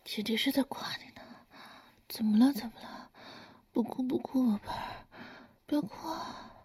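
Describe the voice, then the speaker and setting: A woman voicing a teasing, doting older lover in a whispered audio roleplay, speaking in a soft, coaxing, breathy whisper. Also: fairly high pitch, moderate pace. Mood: tender, coaxing.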